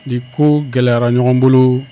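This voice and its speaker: Mellow flat voice guy, lots of euh, closing remarks on radio, faint hiss. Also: medium pitch, measured pace, faint music behind. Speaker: radio guest.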